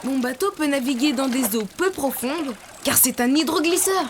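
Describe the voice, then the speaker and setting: An actor voicing a kind, helpful young cartoon character who calmly teaches children how to draw. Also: high-pitched, normal speed, noisy background, lightly acted. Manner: calm, instructive, encouraging. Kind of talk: children's cartoon dialogue and drawing tutorial. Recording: loud music underneath.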